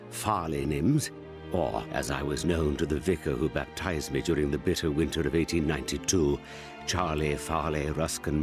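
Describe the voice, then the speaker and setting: A man's voice: very deep voice, creaky, heavily acted. An actor playing a vain, elderly English aristocrat narrating his memoirs in a pompous, wordy manner for a radio comedy. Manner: pompous, wordy, self-regarding. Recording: narration over music.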